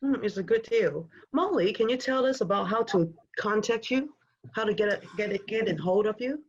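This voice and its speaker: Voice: mid-range voice, expressive, normal speed, a little hiss or hum. Host moderating an online Q&A, dull audio. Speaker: webinar host. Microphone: video call. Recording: dull lo-fi video-call audio.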